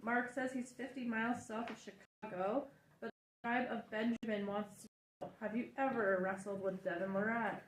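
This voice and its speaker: A woman's voice: on the high side, fairly even intonation, normal speed. A woman from Canada reading viewer comments aloud on a casual livestream, speaking briefly. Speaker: co-host reading chat comments. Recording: echoey room with faint noise.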